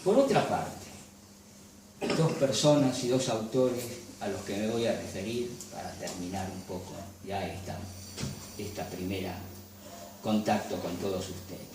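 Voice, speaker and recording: A man's voice: fairly low pitch, noisy background. Formal man lecturing in an echoing hall. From Galicia. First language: Galician. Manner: measured, formal, reads dense theoretical prose. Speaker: lecturer and photographer. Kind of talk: reading a prepared academic lecture. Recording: very echoey hall from a distance.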